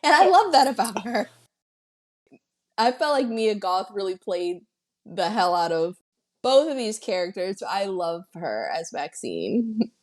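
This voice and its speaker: A woman co-hosting a podcast, chatting casually as she retells a film's plot with excited asides. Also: mid-range voice, a little slow. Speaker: podcast co-host. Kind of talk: casual film-recap chat.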